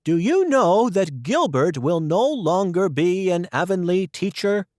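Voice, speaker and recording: A man's voice: sits high, sing-song, a little slow, darkish tone, no echo, clean studio sound, pitched above the performer's own voice. A man doing a gossipy older village woman. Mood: newsy. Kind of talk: storybook reading.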